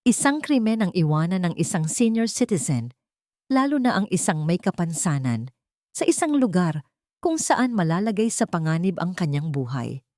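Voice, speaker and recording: A woman storyteller voicing an earnest, resolute young lawyer grandson in a firm, measured, respectful way. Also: fairly low pitch, sing-song, dark tone, no room sound, clean studio sound. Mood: firm, explaining.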